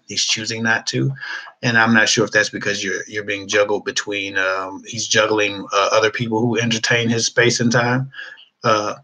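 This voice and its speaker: A man's voice: lowish voice, sharp tone, poor audio. A man hosting a dating advice talk, speaking frankly in a rambling, casual way. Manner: frank, rambling, with many restarts and fillers. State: losing his voice.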